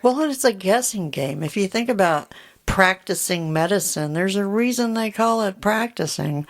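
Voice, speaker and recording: A middle-aged woman with a deep voice, co-hosting a podcast in candid, joking casual chat and laughing easily. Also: animated, moderate pace. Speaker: podcast co-host.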